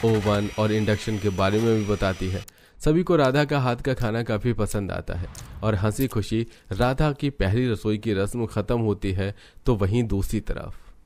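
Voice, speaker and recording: Male narrator, lower-pitched voice, scripted storytelling, family tale. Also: a little slow, a little mellow.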